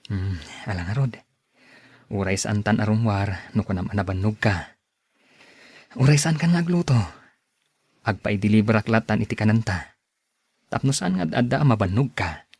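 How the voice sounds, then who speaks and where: An actor playing an evasive, defensive husband, a man with an Ilocano accent in a radio drama. His medium-pitched voice swings widely up and down, with a rough, husky texture in a dry recording. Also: lively intonation, normal speed, pauses often, a little mellow, no echo, full character voice. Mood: coaxing. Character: evasive cheating husband, defensive, then pleading.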